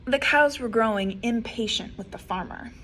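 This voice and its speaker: A woman librarian reading a picture book aloud to children, expressive and animated, with soft whispered asides. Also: fairly high pitch, normal speed, slight background noise. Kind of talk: children's story time read-aloud.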